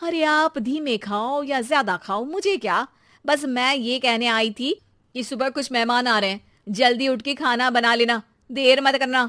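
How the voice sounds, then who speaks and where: A woman voicing a brisk, boastful young daughter-in-law in a cartoon story, teasing and impatient in manner. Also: high voice. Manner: sharp, bragging, teasing. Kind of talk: cartoon moral story character voice.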